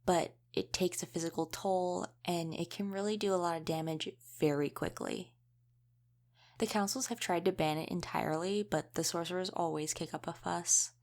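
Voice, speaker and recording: Woman playing a chatty low-voiced young wolf shifter woman. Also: fairly even intonation, moderate pace, a little mellow, lightly acted. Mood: chatty, informative. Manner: chatty, informal, explanatory, with trailing questions. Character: easygoing young female wolf shifter, history student. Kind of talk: casual conversational audio roleplay.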